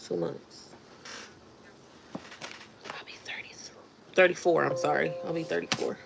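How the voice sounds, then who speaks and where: Casting note: thirties woman, lowish voice, loose chatty livestream host answering comments. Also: unhurried, vocal fry, whispered. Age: 30s. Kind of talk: casual livestream chat.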